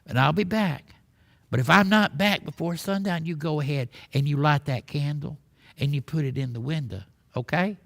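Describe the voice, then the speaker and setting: A man's voice: a little high, normal speed, vocal fry. Man putting on a folksy, drawling Texan cowboy. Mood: hurried, reassuring. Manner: drawling, folksy rustic speech. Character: folksy Texan cowboy yarn-spinner and loving husband, hearty.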